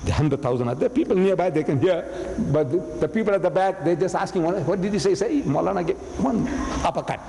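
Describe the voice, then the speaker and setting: A man lecturing to an audience, telling an animated story with acted quotes. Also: sits high, fast talker, vocal fry. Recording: long hall reverb, faint noise.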